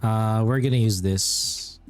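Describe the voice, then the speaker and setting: A man's voice: lowish voice, steady tone, takes it slowly. A man streaming a video game, giving casual, informal commentary on his play. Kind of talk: casual live gameplay commentary. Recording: faint game music behind. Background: game music.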